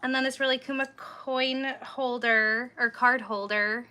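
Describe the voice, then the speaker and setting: Slow-talking bright-voiced woman. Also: a little high, steady tone. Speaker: host's wife showing purchases. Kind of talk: casual show-and-tell.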